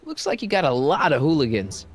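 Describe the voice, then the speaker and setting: A man's voice: a little high, big pitch swings, fairly quick. A man voicing a curious, casual, slightly wry teenage boy in a video game. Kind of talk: video game character acting.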